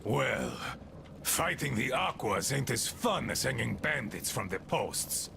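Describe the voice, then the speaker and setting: Gravelly, unhinged, bitter gang leader. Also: male voice, mid-range voice, very animated, slow pace, background noise, full character voice. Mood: angry, scornful. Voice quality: gravelly, creaky. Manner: rambling, scornful, theatrical swings from muttering to shouting. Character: unhinged, bitter, abandoned crippled gang leader talking to his boys. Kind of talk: video game character acting.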